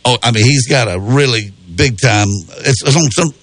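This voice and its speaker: A man's voice: medium pitch, moderate pace, a bit bright, no echo. Folksy man hosting a racing radio show. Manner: rambling, folksy, self-correcting. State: sniffly, slightly raspy from a cold. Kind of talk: casual radio chat.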